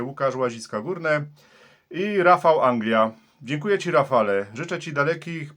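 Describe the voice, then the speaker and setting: A Polish CB operator who speaks in a steady, friendly tone. He reads out call signs and reports with practiced radio routine. His voice sits at an ordinary male pitch. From Poland. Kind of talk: CB radio contact.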